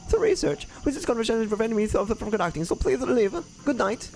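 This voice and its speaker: Here is a man acting out a flustered, guarded game researcher. The voice is pushed high and moves around a lot. The delivery is formal, fussy and hesitant. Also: lively intonation, fairly quick, a little mellow. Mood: exasperated. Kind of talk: character voices while reading game dialogue.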